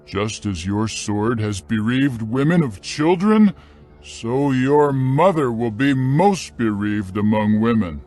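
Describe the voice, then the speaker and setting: A man's voice: medium pitch, takes it slowly, a little mellow, close to an everyday voice. A man voicing a stern old prophet who solemnly rebukes a king in a dramatized scripture reading. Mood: cold command. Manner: solemn, condemning, emphatic.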